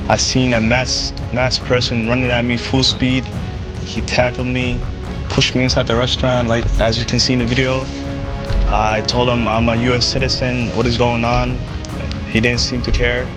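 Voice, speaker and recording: A man's voice: mid-range voice, monotone, moderate pace, over music. A man giving a plain-spoken, informal first-person account of an incident.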